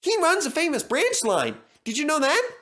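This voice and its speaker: A man voicing an eager, earnest young tank engine in a children's story, with a squeaky, chattering delivery. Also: huge pitch swings, moderate pace, pushed and bright, full character voice. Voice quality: squeaky, high-pitched. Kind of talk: animated children's story character voice.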